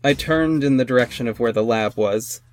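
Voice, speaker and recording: A man's voice: medium pitch, a little fast, a little hiss or hum, close to an everyday voice. An actor playing an earnest masked superhero who narrates his own adventures in an audio drama. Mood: earnest, dramatic. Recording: music under the voice. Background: music.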